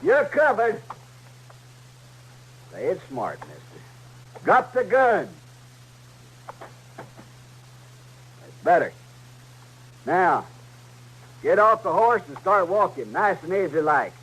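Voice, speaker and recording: An actor playing a scheming Western outlaw leader in an old-time radio drama, with a rural American West accent and a folksy, commanding way of talking. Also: male voice, sits high, sing-song, slow, pauses often, fairly bright tone, slightly rough, heavily acted, rough recording. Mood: tense, commanding. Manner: folksy, commanding drawl with tag questions. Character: domineering, scheming Western outlaw leader bossing his slow partner. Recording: old radio recording with faint hum and noise.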